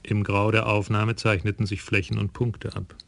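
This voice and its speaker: Brief: male narrator, low and gravelly, wry measured delivery over music. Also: flat delivery. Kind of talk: scripted radio drama narration.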